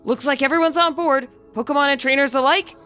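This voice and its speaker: A woman's voice: high voice, a little fast, fairly bright tone, soft background music, voice pushed up. A woman voicing a confident young male champion, a showy big brother giving declamatory encouragement in a game story. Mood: proud, encouraging.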